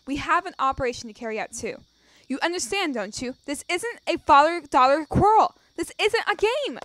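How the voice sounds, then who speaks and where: A woman's voice: high-pitched, animated, normal speed, fairly bright tone, no room sound. A woman acting a stern, businesslike young military instructor in a video game fan dub, firm and scolding.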